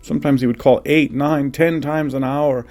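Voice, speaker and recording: A man's voice: mid-range voice, fairly even intonation, moderate pace, darkish tone, faint music behind, pitched above the performer's own voice, close to an everyday voice. A man voicing a guilt-ridden young man who confesses his pain plainly in an audiobook testimony. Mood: guilty, burdened. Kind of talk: audiobook reading of a personal testimony.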